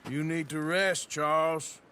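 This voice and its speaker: An actor playing a blunt, world-weary American outlaw in a game, speaking with dry sarcasm. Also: male voice, fairly high pitch, fairly even intonation, a little slow, sharp tone, slight background noise. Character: gruff, sardonic middle-aged male outlaw, world-weary. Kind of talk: video game cutscene acting.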